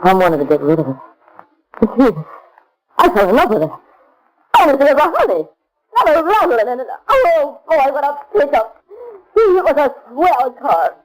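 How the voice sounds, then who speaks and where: A woman's voice: high voice, big pitch swings, normal speed, long pauses, low-quality recording. An actress in a 1930s radio crime drama playing a hopped-up, girlish young robber who talks in slang and pleads. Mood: wistful, gushing. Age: young adult. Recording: vintage 1930s radio recording.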